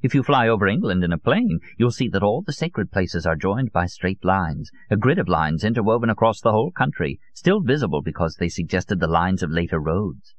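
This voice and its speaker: A man's voice: low-pitched, very animated, brisk, few pauses, no echo. A man voicing a fervent elderly conspiracy theorist in an audiobook, emphatic and rhetorical, holding forth in rambling monologue. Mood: lecturing. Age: older. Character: elderly Russian male conspiracy theorist, fervent, nostalgic taxidermist. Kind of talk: dramatised audiobook character. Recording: dull, muffled lo-fi audio.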